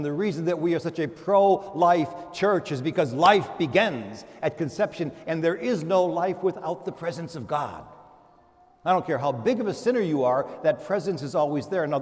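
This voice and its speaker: A man's voice: a little high, darkish tone. A male priest giving a sermon with emphatic, repeated phrases, recorded in a large reverberant church. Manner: emphatic, rhetorical repetition. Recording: echoing church hall, long reverb.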